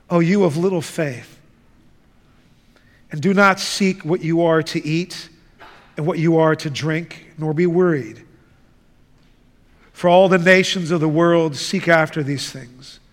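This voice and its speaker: A man who grew up in the Netherlands, preaching a sermon and reading scripture in a slow, deliberate way. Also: on the high side. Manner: slow, deliberate, measured delivery. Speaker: guest preacher. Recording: reverberant church hall.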